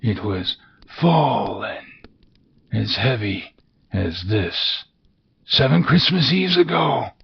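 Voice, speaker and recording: A man voicing the wailing, chained ghost of an old miser, with a high quavering voice that rises to cries. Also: lively intonation, takes it slowly, vocal fry, pauses often, slightly rough, heavily acted. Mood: mournful wailing. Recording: dull lo-fi recording with faint hiss.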